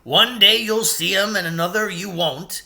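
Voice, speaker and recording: A man's voice: high voice, moderate pace, vocal fry, sharp tone, voice pushed up, full character voice. A man voicing a kindly male talking beaver from a storybook, homely, reassuring and folksy. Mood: reassuring, knowing.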